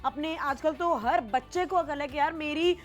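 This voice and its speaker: A woman's voice: high-pitched, moderate pace. A young woman presenting a product on a home shopping show in a chatty, casual way, slipping English phrases into her talk. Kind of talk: teleshopping sales chat.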